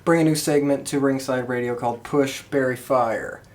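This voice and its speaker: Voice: medium pitch, steady tone, moderate pace, a little mellow. A podcast co-host talking casually and informally with friends.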